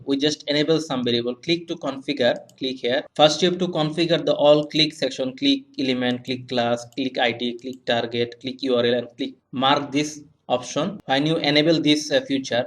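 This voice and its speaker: Halting young man presenting a tutorial. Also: medium pitch. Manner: halting, repetitive, simple non-native English phrasing. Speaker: web analytics tutorial presenter. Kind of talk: step-by-step software tutorial narration.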